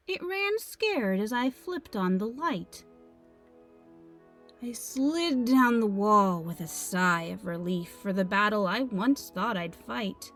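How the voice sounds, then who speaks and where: Slow, dramatic woman storybook narrator. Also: fairly high pitch. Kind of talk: expressive children's read-aloud narration. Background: music.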